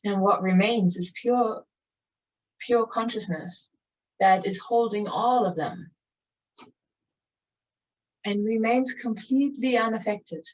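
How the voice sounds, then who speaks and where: A woman leading a guided meditation in a calm, contemplative, measured manner with long pauses. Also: mid-range voice. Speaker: meditation teacher. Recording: distant mic, dull muffled sound.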